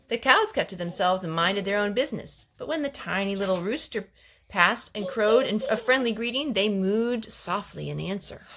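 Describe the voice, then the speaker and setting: A woman reading a children's story aloud in an expressive, animated way, with gentle asides to young listeners. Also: medium pitch, slight background noise.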